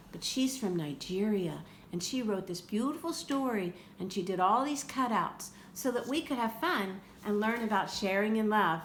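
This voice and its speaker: A woman reading a picture book aloud to children in an expressive way, with chatty asides to her young listeners. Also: fairly high pitch. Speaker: read-aloud storyteller for children. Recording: roomy echo with faint background noise.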